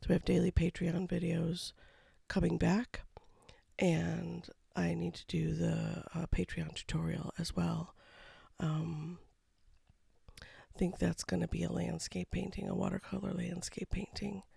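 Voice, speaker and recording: An artist talking casually over a painting time-lapse, with a froggy voice from a cold and a low-key, rambling manner. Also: little pitch movement, unhurried, vocal fry, dark tone, husky, dry recording, clean studio sound. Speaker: watercolor artist. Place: home studio.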